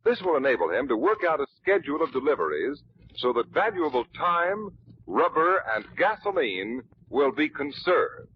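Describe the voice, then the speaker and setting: A man's voice: a little high, sing-song, moderate pace. An American man announcing on 1940s radio, reading a script in a formal, emphatic way. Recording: dull, noisy 1940s radio recording.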